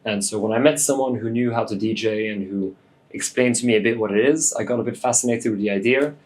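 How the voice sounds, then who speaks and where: A man in his late twenties, French-born and raised in Hong Kong, talking in a relaxed, thoughtful way as a DJ guest, with many fillers. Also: on the low side, fast talker. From France, raised in Hong Kong. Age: 20s. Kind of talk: casual interview.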